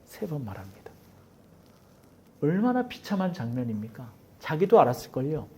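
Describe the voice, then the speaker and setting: A man's voice: on the high side, sing-song, normal speed, dark tone, breathy edge. A man from Seoul preaching a sermon as pastor of his church. He preaches dramatically, acting out quoted voices and sometimes dropping to a near whisper. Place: church sanctuary.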